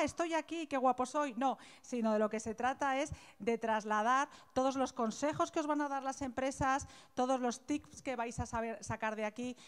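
Smooth-voiced young woman presenter. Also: a little high. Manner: hesitant, many fillers. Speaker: event presenter. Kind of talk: introducing a speaker. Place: large university auditorium.

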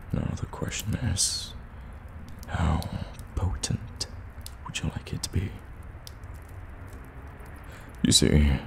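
A man performs a suave evil warlock for an ASMR audio roleplay, with an extremely low voice full of gravel. He speaks slowly and intimately, courteous yet teasing, as if coaxing a customer into a bargain. Long cave-like reverb surrounds him. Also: full character voice. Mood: sly, bargaining. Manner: soft-spoken flattery, measured and teasing. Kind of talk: ASMR roleplay, intimate direct address to the listener. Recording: cavernous reverb, ambient background noise.

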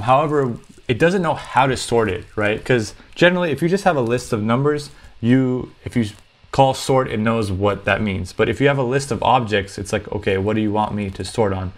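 A man hosting a programming tutorial, explaining step by step in a conversational way. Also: on the low side.

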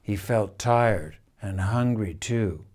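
Slow-reading older man, storybook narrator. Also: fairly low pitch, a little mellow. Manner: measured, deliberate phrasing with dramatic pauses. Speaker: storyteller reading a picture book. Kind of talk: expressive children's storybook reading. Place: indoors, room in a house with stone wall.

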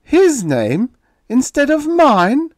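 A man voicing an arrogant male writer who turns shrill and panicky. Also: animated, moderate pace, no echo, clean studio sound, lightly acted. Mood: shrieking. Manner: high, shrieking, desperate.

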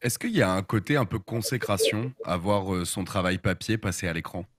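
A man's voice: low voice, brisk, dry recording. A man hosting a panel, casually putting a question to his guests. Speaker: host. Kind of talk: casual interviewing. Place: at home, on a video call.

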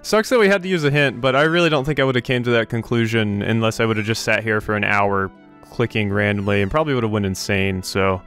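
A man's voice: lowish voice, brisk, few pauses, a bit bright, clean tone, soft background music. A young adult man giving casual, wry, laid-back commentary as a let's play host.

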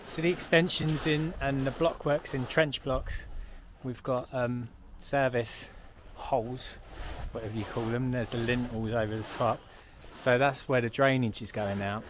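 A man's voice: medium pitch, steady tone, a little slow, few pauses, background noise. A young man, casually explaining work on his self-build on an outdoor building site, recorded on a handheld action camera. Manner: practical, explaining on the go.

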